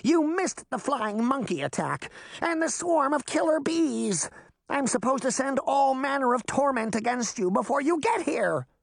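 Narrator putting on a pushy witch, high voice, lively pitch, dry close sound. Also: measured pace, gravelly, no echo, exaggerated voice. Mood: fussy. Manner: pushy, insistent. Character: eager theatrical female witch, cheerfully fated to melt.

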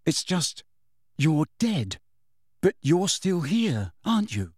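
A man's voice: on the high side, very animated, takes it slowly, darkish tone, husky, no room sound, studio quality, pitched above the performer's own voice, full character voice. A man voicing an impatient, pleading teenage boy wizard in a storybook reading, urgent and insistent with his questions. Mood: awkward, urging.

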